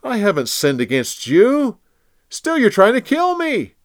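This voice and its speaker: A man's voice: high voice, wildly swooping pitch, unhurried, dry recording, clean studio sound, pitched above the performer's own voice, full character voice. A man voicing a young male warrior who calls out earnestly and pleads with his king. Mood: pleading.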